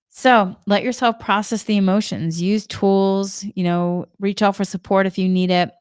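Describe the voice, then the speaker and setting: A middle-aged woman with a Boston accent gives gentle, reflective spiritual guidance, often repeating her questions. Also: mid-range voice, clear, resonant tone, no room sound, studio quality. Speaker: spiritual energy guide, Reiki practitioner.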